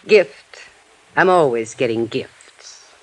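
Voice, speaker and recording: A woman's voice: a bass voice, lively intonation, takes it slowly, a little mellow, heavily acted, poor audio. An actress playing a bold, flirtatious married woman in a 1950s radio drama, with an American accent and a coy, teasing delivery.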